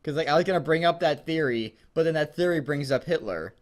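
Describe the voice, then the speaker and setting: A man co-hosting a fan fiction reading show, chatting casually and jokingly in a rambling, halting way with some stammering. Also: fairly high pitch.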